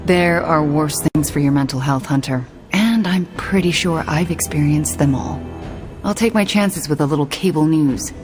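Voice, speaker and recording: A woman's voice: low-pitched, moderate pace, over music, close to an everyday voice. A woman playing an anxious young sorceress in a video game cutscene, casual and hesitant with a wry, weary edge.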